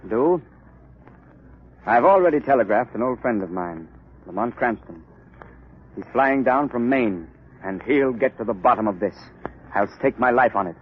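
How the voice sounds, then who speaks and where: An actor playing a resolute, earnest chief doctor in a 1930s radio drama on an old recording. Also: male voice, medium pitch, lively intonation, normal speed, long pauses, narrow, tinny audio, a little hiss or hum, close to an everyday voice. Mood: grave, determined.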